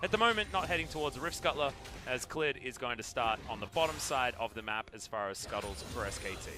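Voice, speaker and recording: A man's voice: mid-range voice, lively intonation, fairly quick, bright, forceful tone, soft background music. A man doing live esports commentary as a play-by-play caster, mixing game calls with conversational banter.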